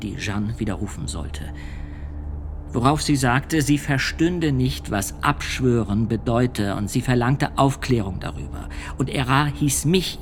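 A man's voice: mid-range voice, a little hiss or hum, close to an everyday voice. A man playing a grave church usher witness. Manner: measured, sombre telling, hushed at times. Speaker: witness testifying at a trial. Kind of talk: radio drama testimony. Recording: music under the voice.

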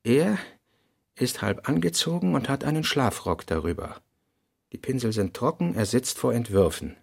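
A man narrating a literary radio drama in a deliberate manner, with a gravelly voice. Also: medium pitch, expressive, dry recording, studio quality.

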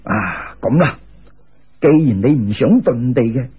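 A man voicing a calm, amused, commanding immortal guide in an unhurried, lofty manner, in a storytelling recording. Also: mid-range voice, slightly rough, phone-quality sound, pitched below the performer's own voice. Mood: amused, reassuring.

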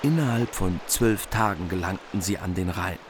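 A male narrator tells an old epic in a scripted radio drama. His voice is fairly low, with faint ambient noise behind it. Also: moderate pace.

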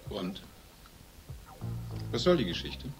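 An actor playing a blunt, sceptical investigator in a radio crime drama, putting curt, probing questions. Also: male voice, lowish voice, big pitch swings, takes it slowly, husky, soft background music, full character voice.

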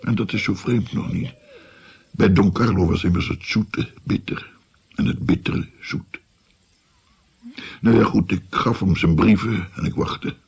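A man playing an old grandfather in a radio drama, recounting his dark past with halting, musing delivery and a gravelly, breathy voice. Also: deep voice, full character voice. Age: older. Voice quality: husky, gravelly, often breathy. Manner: halting, musing, drops to whispers.

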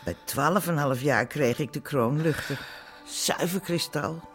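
A woman's voice: low voice, measured pace. A long-married café landlady in a radio play. She is middle-aged, chatty and forgiving, with a wistful way of talking. Light music plays behind her. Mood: wistful, dreamy. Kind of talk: naturalistic radio drama acting.

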